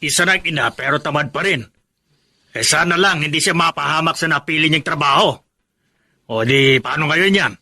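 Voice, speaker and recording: An actor playing a disapproving, outspoken middle-aged uncle in a Filipino radio drama. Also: male voice, a little high, normal speed, bright, forceful tone.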